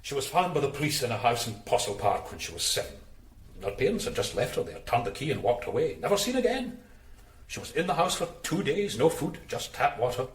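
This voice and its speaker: A man's voice: mid-range voice, very animated, fairly quick, reverberant, close to an everyday voice. A man playing a prim, cold adoptive father. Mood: defensive. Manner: prim, evasive, disapproving. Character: stiff middle-aged adoptive father, cold and defensive. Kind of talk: radio drama.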